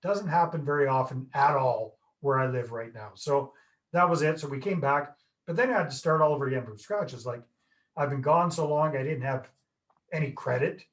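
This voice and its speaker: A man's voice: medium pitch, moderate pace. A Canadian man in his fifties, a guest telling stories in a casual, jokey, self-deprecating way over a video call. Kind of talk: casual interview chat.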